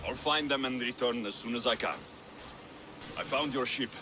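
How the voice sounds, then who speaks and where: A man's voice: mid-range voice, moderate pace, noisy background, natural voice. A man acting a confident ancient Greek mercenary. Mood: dry, then reluctant, grave. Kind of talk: video game cutscene acting.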